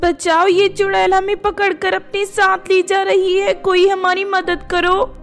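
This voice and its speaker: A woman voicing an excitable young girl who exclaims shrilly in a children's story. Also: very high voice, a little slow, faint music behind, voice pushed up. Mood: panicked. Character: excitable young village girls, crying out or jeering.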